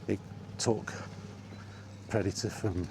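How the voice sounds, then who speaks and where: A man's voice: on the low side, fairly even intonation, takes it slowly, noisy background. A British man giving a casual, rambling, blunt and exasperated monologue as a film vlogger.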